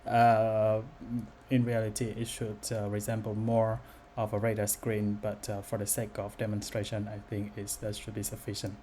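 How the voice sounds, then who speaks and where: A man giving an unscripted software demo as its developer, speaking in a halting, hesitant way. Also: fairly low pitch, monotone. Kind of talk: unscripted screen-recorded demo narration. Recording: noticeable background noise.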